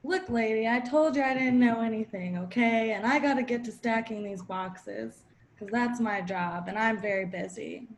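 A woman's voice: fairly high pitch, moderate pace, slight background noise, natural voice. Woman as a curt, busy dock worker. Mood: curt, matter-of-fact. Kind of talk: improvised radio-play parody.

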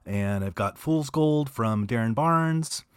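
A man's voice: medium pitch, lively intonation, slow pace, clean tone, no room sound, studio quality. A man from Southern California co-hosting a radio show, easygoing and full of hesitations. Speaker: radio co-host. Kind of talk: casual radio chat.

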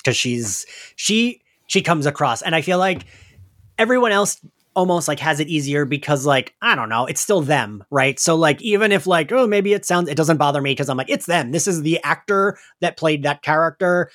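A man's voice: a little high, animated, moderate pace, fairly bright tone. A man co-hosting a podcast, chatting casually about an audio drama with lots of "like" and trailing asides. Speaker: podcast co-host.

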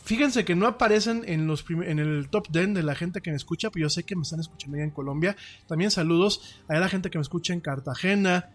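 A man's voice: sits high, fast talker. Chatty Mexican man hosting a podcast. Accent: Mexican Spanish. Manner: chatty, rambling, frequent restarts and fillers. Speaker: podcast host. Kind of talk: casual solo podcast chat.

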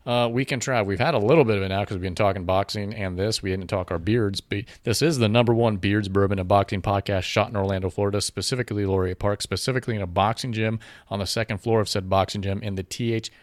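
Low-voiced man co-hosting a boxing podcast. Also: fairly even intonation, quick pace, few pauses, dry recording, clean studio sound. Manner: promotional, plugs sponsors and events. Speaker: podcast co-host. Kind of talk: casual podcast chat. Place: podcast room in a boxing gym. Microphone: studio mic with headphones.

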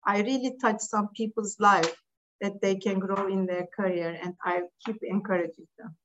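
A woman's voice: medium pitch, steady tone, moderate pace, dull audio, slight background noise. A middle-aged Turkish woman speaking English as a second language, answering podcast interview questions in an earnest, direct way with some restarts. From Turkey. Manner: earnest, direct, non-native phrasing with restarts. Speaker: interview guest, business leader.

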